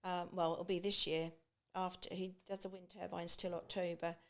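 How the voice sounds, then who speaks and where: A woman's voice: on the low side, level tone, normal speed. A woman guest on a podcast, talking casually with many restarts and fillers. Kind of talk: casual conversation.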